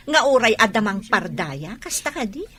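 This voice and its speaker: A woman's voice: medium pitch, sing-song, moderate pace, a bit bright. A woman with an Ilocano accent playing an earnest, wronged young wife in a radio drama. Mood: stung, righteous.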